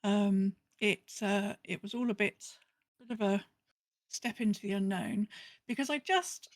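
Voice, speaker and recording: A woman's voice: mid-range voice, unhurried, no room sound, clean studio sound. A British woman hosting a podcast, chatting casually and telling anecdotes. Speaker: podcast host.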